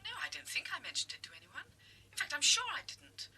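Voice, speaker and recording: Down a noisy telephone line comes an anxious lady in a radio play. Her voice is high and very varied in pitch, her manner reserved. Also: huge pitch swings, quick pace, exaggerated voice. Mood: hesitant. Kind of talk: radio drama acting.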